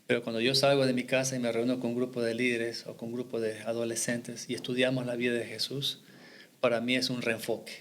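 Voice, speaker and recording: A middle-aged man from Ecuador talks about his mission work as a podcast guest, in an earnest and reflective way. His voice sits at an ordinary middle pitch for a man, and he pauses to restart a phrase now and then. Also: flat delivery, slight background noise. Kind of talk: podcast interview, faith testimony.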